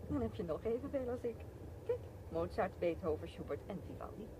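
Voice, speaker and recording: An actress playing a brisk, practical mother in radio play dialogue. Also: mid-range voice, expressive, noisy background, close to an everyday voice.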